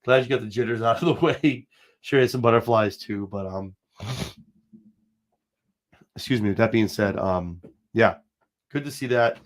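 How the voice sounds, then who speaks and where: A man's voice: lowish voice, moderate pace, long pauses. A man in his forties with a slight cold, hosting a casual commentary livestream in a rambling, emphatic way, talking straight to viewers. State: has a cold, sniffling. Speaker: show host.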